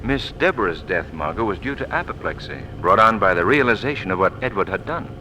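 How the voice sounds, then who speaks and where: An actor playing a suave, knowing gentleman sleuth in a 1940s radio drama, speaking in a measured, theatrical way on an old recording. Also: lowish voice, lively intonation, a little hiss or hum, rough recording. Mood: urbane, composed.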